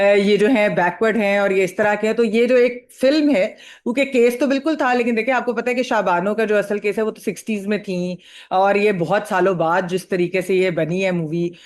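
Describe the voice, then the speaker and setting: Woman, Pakistani accent, panel critic, analytical, halting and discursive, English phrases mixed in. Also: medium pitch, a little mellow.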